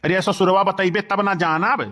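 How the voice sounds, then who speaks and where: Male actor as village uncle, Awadhi accent, fairly high voice, gullible, excitable, comic cartoon. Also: normal speed. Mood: alarmed.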